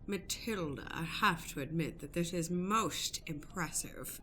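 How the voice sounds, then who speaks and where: A woman playing an awkward, pompous gentleman suitor in an improvised radio play. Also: lowish voice, slight background noise. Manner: pompous, hesitant, deliberate. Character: Darwin Doobie, awkward pompous male suitor on a date.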